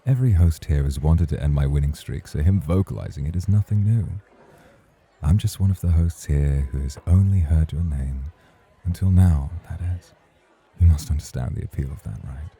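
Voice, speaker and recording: A man's voice: a bass voice, moderate pace, dark tone, breathy edge, heavily acted. A man playing a suave, patient nightclub host in an ASMR roleplay, speaking in a formal, courtly, flirtatious way. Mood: suave, intrigued. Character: suave, patient male nightclub host, teasing rival charmer.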